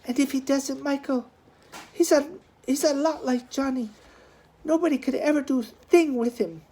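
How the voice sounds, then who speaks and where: A woman's voice: sits high, moderate pace, dark tone, pitched above the performer's own voice, close to an everyday voice, poor audio. A woman voicing a scolding, fretful young woman in a storybook reading, emphatic and chiding. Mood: pleading.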